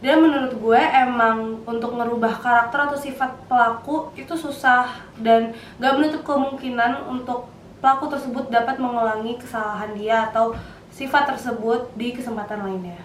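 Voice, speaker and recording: A woman's voice: on the high side, steady tone, normal speed. An eighteen-year-old woman student, speaking in a casual panel discussion. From Indonesia. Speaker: university student. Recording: roomy, distant mic, background noise.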